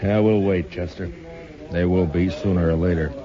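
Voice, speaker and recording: A man playing a stern, watchful marshal of the Old West in a 1950s radio western, speaking in a measured, blunt, authoritative way. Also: steady tone, warm tone, natural voice. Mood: guarded. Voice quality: deep, firm. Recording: dull, hissy 1950s radio recording.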